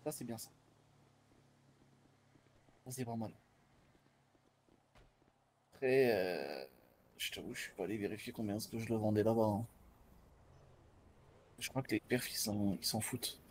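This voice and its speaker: A man with a dark, mellow voice of average pitch talks in a casual way. He sounds like he is chatting during a game, with clear noise behind him. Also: measured pace, pauses often. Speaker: role-play game player. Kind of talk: casual chat.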